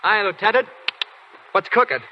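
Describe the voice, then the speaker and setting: A brash young Marine, boastful and joking, with a slightly high voice. He comes through a dull, muffled old radio broadcast. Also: animated, moderate pace, a little hiss or hum. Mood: cocky. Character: cocky, joking young Marine football star. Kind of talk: 1940s radio drama acting.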